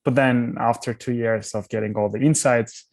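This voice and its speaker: A man's voice: mid-range voice, steady tone, normal speed, a little mellow, no room sound. A young man from Armenia, a startup founder answering interview questions thoughtfully, often restarting his words.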